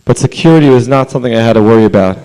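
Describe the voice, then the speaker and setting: A man whose voice is hoarse after a long holy day speaks at a council meeting. He talks in an earnest, chatty way with fillers and asides, at a middle pitch. He is clearly addressing a room from a podium. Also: very fast, mellow, low-quality recording. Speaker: rabbi speaking at public comment. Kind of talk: public comment speech. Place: council chamber.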